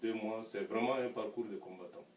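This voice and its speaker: A middle-aged man with a francophone African accent speaks in a fairly low voice. He answers an interviewer in a measured way, pausing to find words. The sound is distant and echoey, with faint noise behind. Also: steady tone. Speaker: interview guest. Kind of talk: recounting personal experience in an interview.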